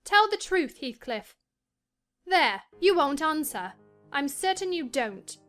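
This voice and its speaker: A high-voiced, hot-tempered young mistress of the house played by an actress in a classic novel drama. Her commands swoop widely in pitch, haughty and sharp-tongued, over faint music. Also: sing-song, slow, full character voice. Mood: demanding.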